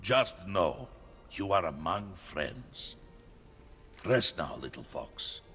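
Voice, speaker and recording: A man's voice: low-pitched, sing-song, slow pace, fairly bright tone, faint music behind, heavily acted. A man playing a big-hearted giant warrior in a fantasy game, jovial and kindly in a grand way. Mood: caring, reassuring. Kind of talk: cinematic fantasy game acting.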